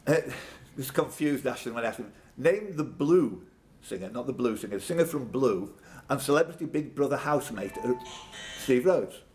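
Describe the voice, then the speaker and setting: A man's voice: mid-range voice, lively intonation, moderate pace, darkish tone. Man hosting a noisy live pub quiz. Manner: bantering, addresses players by name. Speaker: quiz host reading questions. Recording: clear background noise, live room. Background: room ambience and noise.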